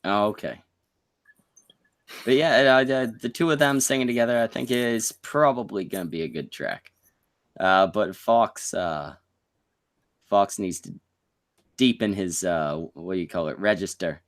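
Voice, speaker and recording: A man from Canada hosting a casual podcast chat, crude and joking, with sudden high squeaks when he gets excited. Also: measured pace, pauses often. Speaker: podcast host.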